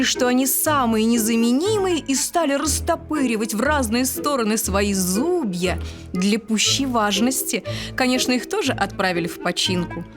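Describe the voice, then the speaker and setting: A woman narrating a children's bedtime story about household dishes in an expressive, playful way. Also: a little high. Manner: lively, playful. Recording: studio reading over music.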